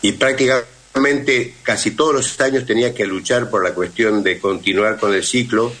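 A man with an Argentine accent talks conversationally in a radio interview. His voice is on the low side and husky, with a bright, forceful edge. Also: moderate pace. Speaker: actor, radio guest.

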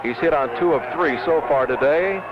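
A man's voice: a little high, moderate pace. A man calling live basketball play-by-play for radio in clipped, urgent phrases. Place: basketball arena. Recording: muffled, noisy old radio broadcast.

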